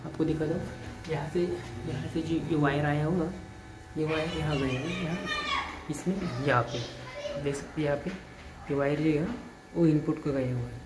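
A man explaining an installation in a casual walkthrough, halting and repeating words, filmed on a handheld phone on a rooftop and indoors. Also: fairly high pitch, fairly even intonation. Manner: halting, repeats words and restarts while pointing things out. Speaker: electrician showing an installation. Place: rooftop outdoors, then indoor stairwell and wall. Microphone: handheld phone camera, filming himself off-frame.

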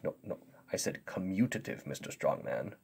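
Male performer as patient children's maths teacher; gentle, quiet, unhurried delivery with a lowish, breathy voice. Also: lively intonation, full character voice. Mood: instructive. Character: patient, soft-spoken male teacher, gently amused, polite. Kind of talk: kids' multiplication lesson skit.

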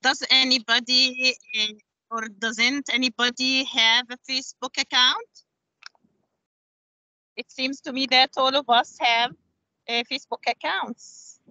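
A middle-aged woman from Palestine hosting a webinar, reading out chat answers slowly with many fillers, over a video call. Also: sits high, fairly even intonation, bright, forceful tone, no echo. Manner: halting, many fillers, slow careful reading. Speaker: webinar host. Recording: dull lo-fi video call audio.

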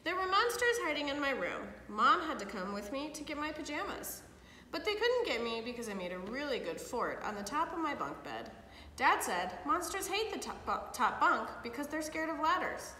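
A middle-aged woman reads a children's story with a bright, fairly high voice and very expressive delivery. The room is echoey and reverberant, picked up from a little way off. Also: moderate pace. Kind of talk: read-aloud of a picture book for children. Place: living room, indoors. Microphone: camera a few feet away. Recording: echoey hard-walled room, some background noise.

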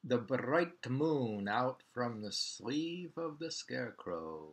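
An older man in his sixties reading poems aloud at home with informal, halting commentary, repeating lines and names. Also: medium pitch, expressive, slightly rough. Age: 60s. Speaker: poetry reader. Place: small living room at home.

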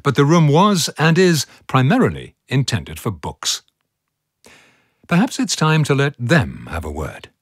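Husky male museum narrator. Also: mid-range voice, wildly swooping pitch, measured pace, no room sound, studio quality. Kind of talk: scripted museum audio narration.

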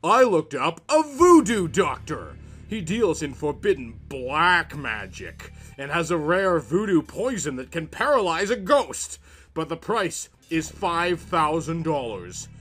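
Man acting out an emphatic, sharp-voiced indignant husband. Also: on the high side, very animated, slow, husky, faint music behind, voice pushed down, full character voice. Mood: scheming. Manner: melodramatic, emphatic. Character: stern, indignant, scheming husband in horror comic. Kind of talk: dramatic horror comic reading.

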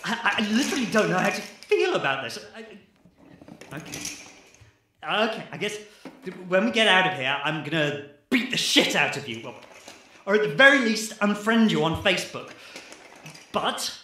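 A man's voice: high-pitched, sing-song, normal speed, long pauses, bright, forceful tone, slight background noise, exaggerated voice. A man acting in a radio play as an exasperated, sarcastic ex-army geologist. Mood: angry, exasperated. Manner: sardonic, sweary, incredulous.

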